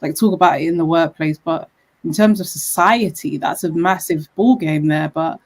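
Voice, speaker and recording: A woman's voice: low voice, moderate pace. A woman hosting a casual podcast conversation, enthusiastic and chatty, with many fillers. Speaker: podcast host.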